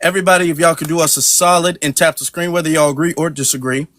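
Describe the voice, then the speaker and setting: A man hosting an online audio room, brisk and affirming as he moderates. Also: on the high side, steady tone, a bit bright. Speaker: room host. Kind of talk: casual moderating.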